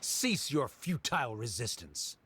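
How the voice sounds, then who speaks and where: An actor playing a stern, haughty bureaucrat in a video game, speaking in a formal, pompous and cold manner. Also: male voice, mid-range voice, very animated, a little slow, noisy background. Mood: scornful. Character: stern haughty male bureaucrat pressing a legal accusation.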